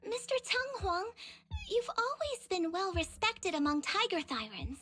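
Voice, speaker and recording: A woman's voice: very high voice, big pitch swings, measured pace, fairly bright tone, soft background music, exaggerated voice. A woman voicing an earnest, cheerful young tiger girl in an anime-style game dub, polite and eager. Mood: respectful, earnest.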